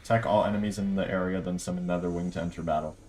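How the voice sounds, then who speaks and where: A man's voice: low voice, fairly even intonation, racing, a little mellow, a little hiss or hum. A man streaming a video game, giving informal commentary and muttering asides to himself as he plays. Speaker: gaming streamer. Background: game music.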